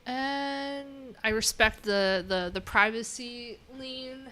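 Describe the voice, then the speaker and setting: Woman, bright clear-cut tone, unhurried halting delivery, casual livestream think-aloud, informal. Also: fairly high pitch. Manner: informal, halting, trailing off mid-thought. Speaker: livestream host, web developer.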